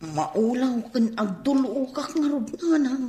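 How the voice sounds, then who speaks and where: High-voiced, queasy young daughter. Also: female voice, lively intonation, slow pace, warm tone, roomy sound. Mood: queasy, complaining. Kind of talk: radio drama acting.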